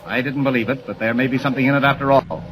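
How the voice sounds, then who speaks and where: A man playing a gruff harried newspaper editor. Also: mid-range voice, fairly even intonation, very fast, crowd noise, natural voice. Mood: exasperated. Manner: brusque, exasperated. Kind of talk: 1940s radio acting. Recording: noisy old radio broadcast.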